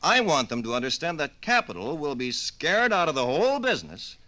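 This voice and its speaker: Bright, emphatic, determined businessman. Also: fairly high pitch, sing-song, fairly quick. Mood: urgent, determined. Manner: emphatic, persuasive. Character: determined businessman attorney, urgent and commanding. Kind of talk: 1950s radio acting.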